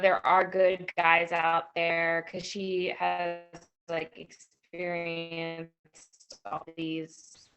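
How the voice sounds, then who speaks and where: A woman's voice: fairly low pitch, vocal fry, a bit bright, smooth. A woman speaking casually and hesitantly on a video call, rambling as she introduces herself. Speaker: background actress and writer. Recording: dull, lo-fi video-call audio.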